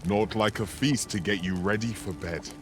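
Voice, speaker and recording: A man's voice: on the low side, moderate pace, close to an everyday voice. A man voicing a stalwart, confident adventuring companion in a fantasy game, with a grandiose, formal, archaic manner of speech. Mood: hearty. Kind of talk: scripted fantasy game dialogue.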